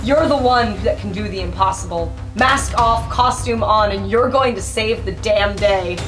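A woman's voice: medium pitch, moderate pace, over music. A woman casually improvising as a player in a tabletop roleplaying game.

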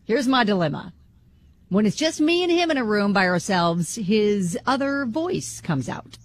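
A woman's voice: mid-range voice, expressive, measured pace. A woman co-hosting a radio talk show, reading a listener's letter and teasing her co-host dryly, on a studio mic. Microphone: studio microphone.

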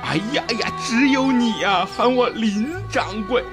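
An actor playing a whiny, boastful second-in-command shopkeeper in a comic radio play, with melodramatic, drawn-out wailing. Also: male voice, extremely high pitch, animated, heavily acted. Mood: moved, weeping. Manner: melodramatic, sing-song wailing with drawn-out words. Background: music behind the voice.

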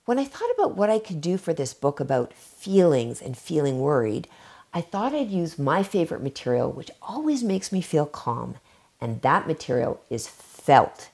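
Low, lively, gravelly-voiced woman reading to kids. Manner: warm storytime delivery, drawn-out emphasis. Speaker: children's book author. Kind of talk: reading aloud and craft demo for kids. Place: home library, seated in armchair before bookshelves.

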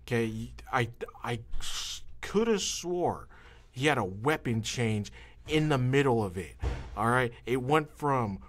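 Man, medium pitch, podcast host, casual, halting, meandering. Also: lively intonation. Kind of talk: casual chat.

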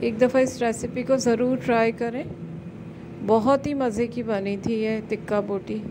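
A woman's voice: fairly high pitch, measured pace. Woman narrating a recipe over noise. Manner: calm step-by-step instructions. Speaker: cooking channel host.

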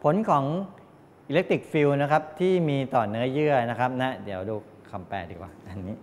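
A middle-aged man from Thailand giving a classroom lecture, explaining haltingly with frequent polite tag particles. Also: mid-range voice, lively intonation. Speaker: college lecturer in medical electronics. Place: medium-sized indoor classroom with wooden desks. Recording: echoey classroom, distant pickup, background noise.

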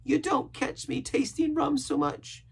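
A man's voice: very high-pitched, very animated, measured pace, creaky, voice pushed up, exaggerated voice. A man voicing a half-crazed, sly and pious marooned sailor in a storybook, with a strained, cracking voice and rustic pirate grammar. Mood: pious, rambling.

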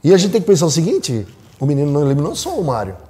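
Brazilian man, casual panelist, mellow timbre, very lively, repeats himself. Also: a little high, racing. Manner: repeats words and phrases. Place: small indoor studio set.

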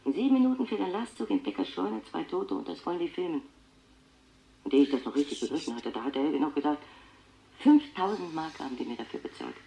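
A woman's voice: low voice, fast talker, vocal fry, rough recording. An actress in a radio play voicing a troubled mother who recounts things about her son and quotes others as she goes. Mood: troubled recounting. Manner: animated, quoting others as she tells. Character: mother recounting her clairvoyant son's disturbing gift. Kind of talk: radio play acting, interview-like storytelling.

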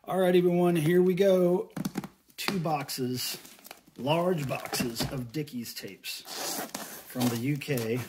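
A man's voice: fairly high pitch, slow, noisy background. A middle-aged American man talking casually in a folksy, informal way, as in an unboxing vlog at home. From United States. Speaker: vlogger, record collector and fan site manager. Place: small indoor room at home.

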